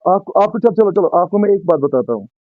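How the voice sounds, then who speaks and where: High-voiced Kashmiri man, pushy and repetitive debater on a dull, dry recorded phone call. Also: lively intonation, quick pace, dry recording. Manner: insistent, repetitive, pressing questions. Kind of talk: argumentative phone debate, switching between Hindi-Urdu and English.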